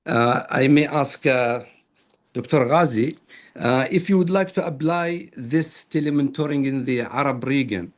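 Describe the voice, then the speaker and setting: A man of medium pitch speaks as a panelist in a webinar. He poses his questions formally and courteously, with some hesitation between phrases. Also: unhurried.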